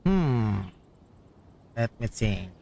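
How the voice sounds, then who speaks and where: A man's voice: lowish voice, sing-song, drawn-out words, vocal fry, dark tone. A man with a Filipino accent reacting casually as a game streamer, thinking aloud in short murmured phrases. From Philippines. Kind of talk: casual livestream game reaction, mixing Tagalog and English.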